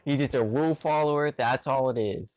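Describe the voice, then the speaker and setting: A woman's voice: rumbling low, a little fast, a little mellow, no echo, lo-fi sound. A woman bantering casually and jokingly with friends.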